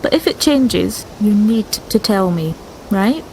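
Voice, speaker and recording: A woman's voice: mid-range voice, animated, slow, a little mellow, a little hiss or hum, low-quality recording. A woman playing a tabletop roleplaying game casually, speaking in brief, understated turns.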